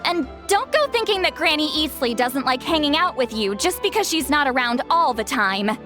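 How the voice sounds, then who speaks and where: Huffy proud game girl, high voice, grumbles dramatically, faint music. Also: expressive, normal speed. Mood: resigned. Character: youthful-sounding grumpy, proud woman who calls herself granny. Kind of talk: video game character acting.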